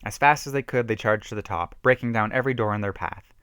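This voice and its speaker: A man's voice: on the low side, fast talker, a bit bright, clean tone, no echo, studio quality. A man giving an emphatic, theatrical reading of a horror story, stumbling now and then. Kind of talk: dramatic reading of a self-written horror story.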